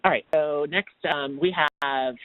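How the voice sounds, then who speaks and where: A man presenting slides in a webinar, in a formal read-out way that turns halting off script, heard over a phone line. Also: on the high side, dry recording. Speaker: webinar presenter, tax assistance program manager. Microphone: phone or conference line. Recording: tinny, narrow phone line.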